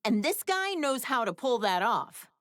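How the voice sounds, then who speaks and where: A woman's voice: fairly high pitch, big pitch swings, measured pace, a bit bright, no room sound, studio quality. A woman voicing a confident, discerning adult character who appraises fighters in a scripted video game. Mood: assured, appraising.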